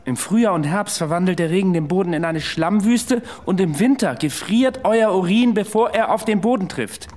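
An actor playing a world-weary, sceptical military adviser, speaking in a blunt, sober way in a radio drama. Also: male voice, high-pitched, lively intonation, normal speed, few pauses, a little mellow. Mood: warning. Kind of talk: radio drama acting and narration.

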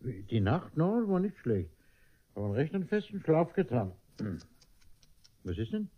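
An actor playing a gullible, vain middle-aged gentleman in a German radio play, stammering and bumbling his way along, flustered and eager. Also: a little high, very animated, moderate pace, breathy edge. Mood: bumbling, puzzled. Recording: dull, muffled old radio recording.

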